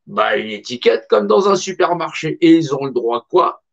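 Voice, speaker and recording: A man's voice: on the high side, very animated, moderate pace, creaky. Impassioned man, dull lo-fi recording. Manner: emphatic, repetitive, colloquial. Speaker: speaker. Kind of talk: impassioned monologue.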